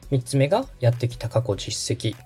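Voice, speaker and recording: A man's voice: mid-range voice, very animated, normal speed, warm tone, breathy edge, soft background music. A man hosting a podcast, interviewing and coaching a guest in a polite, instructive conversational manner. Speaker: podcast host, radio director coaching guest.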